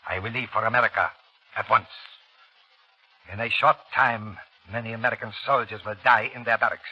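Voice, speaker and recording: An actor playing a cold, scheming doctor in an insinuating, theatrical 1930s radio style. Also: male voice, lowish voice, fairly even intonation, brisk, breathy edge. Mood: coldly persuasive. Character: sinister foreign doctor, cold scheming germ-warfare villain. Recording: dull vintage 1930s radio recording, faint music.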